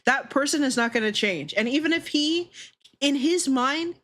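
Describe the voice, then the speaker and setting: A woman with a fairly high voice talks as a livestream host in a casual, chatty style. She is opinionated and sweary, often repeating a phrase to drive it home. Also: lively intonation, moderate pace, a bit bright. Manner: opinionated, heavy swearing, emphatic repetition.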